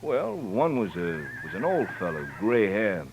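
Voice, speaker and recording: A man's voice: fairly low pitch, big pitch swings, unhurried. A man playing a talkative, folksy American livery stable keeper in a 1950s radio western, speaking hesitantly. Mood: folksy, obliging. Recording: noisy old radio recording.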